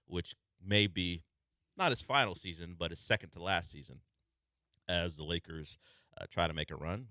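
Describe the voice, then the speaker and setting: Male radio host, low voice, bright and crisp tone, dry close studio mic, measured conversational delivery. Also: no room sound. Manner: conversational, measured, thinking aloud. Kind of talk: sports radio talk. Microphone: studio microphone.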